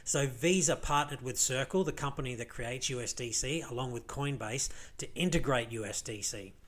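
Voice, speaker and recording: Croaky, worn-out Australian man; casual rambling vlog commentary, lots of fillers. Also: medium pitch, normal speed, a bit bright. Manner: rambling, filler-heavy, many restarts. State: croaky, tired voice. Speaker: crypto vlogger. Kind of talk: casual screen-share commentary.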